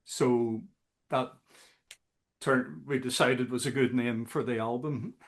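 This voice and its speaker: Guy guest on a music podcast, slow and halting, lots of ums. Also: mid-range voice, fairly even intonation. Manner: slow, halting, many fillers. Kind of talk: casual interview chat.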